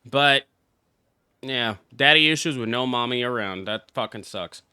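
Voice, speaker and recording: A man's voice: mid-range voice, unhurried, pushed and bright, clear, resonant tone, no room sound. A young man, a game streamer, giving casual live reaction commentary in a brash, exclamatory, heavily profane way. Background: game music.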